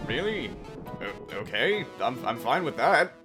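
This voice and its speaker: Man as questing knight, fairly high animated voice, earnest, dramatic first-person narration, fantasy audio drama, music in background. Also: unhurried. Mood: wry, unimpressed. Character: earnest questing young male knight, wry and easily startled.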